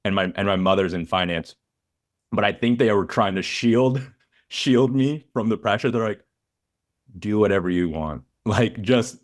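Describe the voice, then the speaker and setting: Low-voiced man hosting a podcast. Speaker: podcast host and interviewer. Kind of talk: casual podcast interview with scripted intro.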